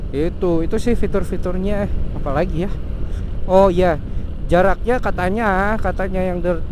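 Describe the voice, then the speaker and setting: A young man chats through a gear review outdoors, his voice sitting a little high. He talks loosely and slangily, often pausing on fillers and restarting. Traffic hums clearly behind him. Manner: casual slangy talk with many fillers and restarts. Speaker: vlogger reviewing a motorcycle intercom. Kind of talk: casual product review. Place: outdoors by a road. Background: traffic noise.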